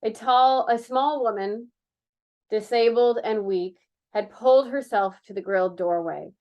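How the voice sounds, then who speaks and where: A woman reading a mystery novel aloud in an animated, theatrical way, breaking in with amused asides. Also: fairly high pitch, a little slow, muffled recording. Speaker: reader of a children's mystery novel.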